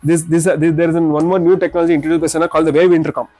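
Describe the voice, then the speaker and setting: Male, Indian accent, rapid salesman patter, slightly high voice, repeats himself while demonstrating products, music behind. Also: level tone, mellow, no echo. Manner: repeats words and phrases while explaining. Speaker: shop salesman presenting products.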